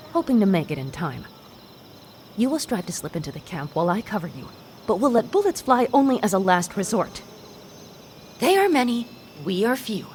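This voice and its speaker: A woman playing a determined young nomad in a video game, brisk and commanding as she plans tactics. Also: mid-range voice, slight background noise, natural voice. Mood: determined briefing.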